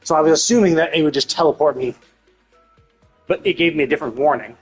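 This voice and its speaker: A man's voice: fairly high pitch, quick pace, breathy edge, faint music behind, rough recording. A man livestreaming a game with casual commentary, explaining his opinions in a rambling, repetitive, mildly exasperated way. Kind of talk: casual live stream commentary.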